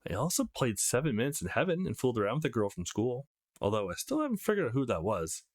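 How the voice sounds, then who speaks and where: A man's voice: mid-range voice, sing-song, fast talker, dry recording, studio quality. A man voicing an easygoing young male protagonist of a visual novel, casual and wry. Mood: wry musing. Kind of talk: acted visual novel read-aloud.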